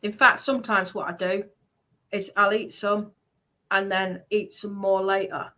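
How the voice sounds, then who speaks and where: A woman's voice: mid-range voice, level tone, a little slow, pushed and bright. Blunt, sweary woman ranting casually. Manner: sweary, blunt, repeats points emphatically. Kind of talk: casual livestream chat and rant.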